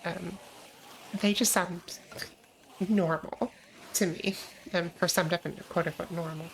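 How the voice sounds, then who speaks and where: A woman's voice: fairly low pitch, fairly even intonation, measured pace, a little hiss or hum, rough recording. Chatty American woman streamer. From United States. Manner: chatty, reads chat aloud, frequent ums. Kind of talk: casual gaming livestream chat.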